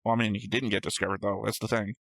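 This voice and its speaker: A man's voice: fairly low pitch, flat delivery, racing, creaky, fairly bright tone, dry recording. A man co-hosting a reaction show, reasoning aloud in a casual, argumentative discussion of story theories. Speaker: co-host of an anime reaction show.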